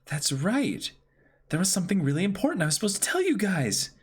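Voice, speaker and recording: A man's voice: high-pitched, big pitch swings, brisk, breathy edge, pitched above the performer's own voice, full character voice. A man voicing an anxious, chiding young woman from a comic in a breathless, dramatic way. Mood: alarmed.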